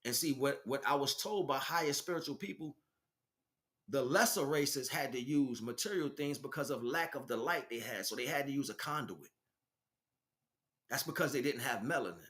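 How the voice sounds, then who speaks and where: A man's voice: medium pitch, normal speed, a bit bright. A man giving an impromptu spiritual talk as a teacher, speaking emphatically in short, repetitive bursts. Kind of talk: impromptu spiritual monologue, preaching.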